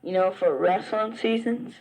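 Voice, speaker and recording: A man's voice: sits high, a little slow, a little mellow, poor audio. A teenage boy from Virginia with a Southern accent, chatting rambly to a friend back home in a spoken letter, full of "uh" and restarts. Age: teens. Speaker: high school wrestler.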